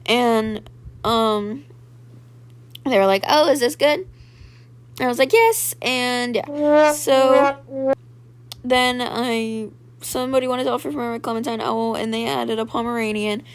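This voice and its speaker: Raspy, chatty young woman with a gravelly edge. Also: fairly high pitch, a little slow, pauses often. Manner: chatty, filler-heavy storytelling, full of "like" and "um". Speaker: gaming video creator. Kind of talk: casual voice-over commentary on gameplay.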